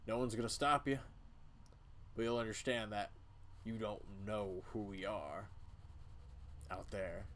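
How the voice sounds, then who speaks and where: Man voicing a slow, grim old cult leader. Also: on the low side, steady tone, fairly bright tone. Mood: grim, brooding. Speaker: video game player voicing all characters. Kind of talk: dramatic game dialogue reading.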